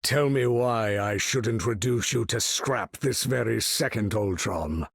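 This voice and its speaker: An actor voicing an imperious, weary older mutant leader in a video game, with grandiose, formal, commanding speech and a gravelly tone. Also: male voice, lowish voice, a little slow, no room sound, clean studio sound, full character voice. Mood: stern, menacing. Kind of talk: video game character voice lines.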